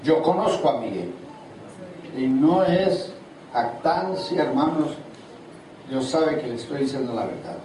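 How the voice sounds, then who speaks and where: Older preacher in an echoey hall. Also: male voice, medium pitch, expressive, unhurried, pauses often, warm tone. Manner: preaches with call-and-response questions to the congregation. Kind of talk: seminar sermon. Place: large hall at a church seminar. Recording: echoey hall from far off, audible noise.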